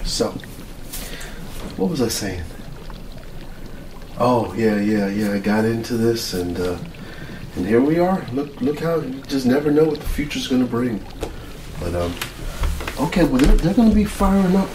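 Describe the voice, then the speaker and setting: Slow-talking mad scientist played by a man, roomy sound. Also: mid-range voice, expressive, close to an everyday voice. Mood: distracted, busy. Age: middle-aged. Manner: rambling, muttering, repeats words. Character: earnest, easily flustered military mad scientist, middle-aged man. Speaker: ASMR roleplayer. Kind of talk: ASMR roleplay, improvised monologue. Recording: roomy, distant mic with clear noise.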